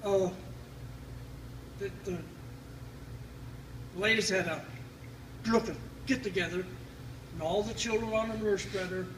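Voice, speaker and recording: An older man telling family stories informally, in a folksy, halting, reminiscing way. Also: sits high, fairly even intonation. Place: family gathering, recorded from a distance. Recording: roomy, distant mic, loud background noise.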